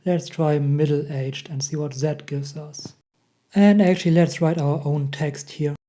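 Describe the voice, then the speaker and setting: A young man with a German accent, hosting a casual software tutorial and chuckling at the results. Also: a little high, steady tone, unhurried. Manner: chatty, laughs often at results. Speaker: tech tutorial host. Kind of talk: casual screen-recorded tutorial.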